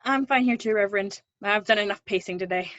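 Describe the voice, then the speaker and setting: A woman's voice: mid-range voice, fast, a bit bright, no room sound, lo-fi sound, natural voice. A woman acting an earnest, pushy drama teacher with faded dreams, encouraging and wistful in a play readthrough. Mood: gently probing.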